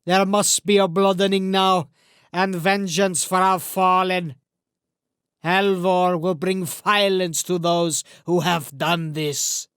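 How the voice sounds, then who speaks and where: Old Norse warrior, male actor, audiobook saga. Grave and measured, resonant tone, high-set for a man, dry close recording. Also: steady tone, fairly bright tone, clear, resonant tone, no echo. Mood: grim. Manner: solemn, measured, formal phrasing. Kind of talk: audiobook character acting.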